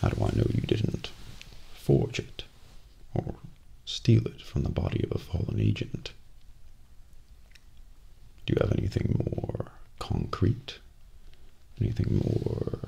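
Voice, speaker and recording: A man acts out a cold male assassin for an ASMR role play. His voice is very husky and full of gravel, kept soft and close to the mic. He questions with slow, measured suspicion and quiet menace. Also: low voice, heavily acted. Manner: slow, deliberate, measured interrogation. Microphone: close binaural microphone.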